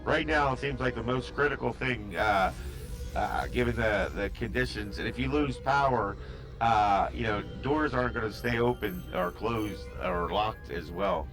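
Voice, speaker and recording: A man narrating a tabletop role-playing game as its game master, voice at an average pitch for a man. He thinks aloud as he goes, with lots of fillers and restarts. Faint music plays quietly behind him. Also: a little slow.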